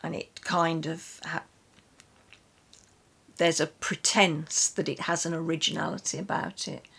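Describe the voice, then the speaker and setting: A woman's voice: sits low, flat delivery, measured pace, gravelly, fairly bright tone, husky. A British woman chatting casually about literature as a host, with a wry, opinionated way of talking. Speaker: host of a poetry reading and book chat.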